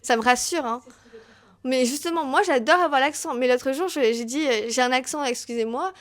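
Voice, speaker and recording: A woman's voice: high voice, moderate pace. A young woman with a light American accent, telling her own story on a solo podcast, chatty and full of hesitations. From raised between Tahiti, Cuba and New York. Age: young adult. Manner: chatty, many hesitations and restarts. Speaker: podcast host.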